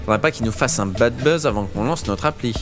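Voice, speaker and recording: A man's voice: medium pitch, fast, a bit bright, soft background music, natural voice. A man playing a smug, pompous start-up boss in a comedy audio drama, peppering his talk with English buzzwords. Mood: approving. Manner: pompous corporate patter full of English buzzwords. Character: smug jargon-spouting male start-up boss.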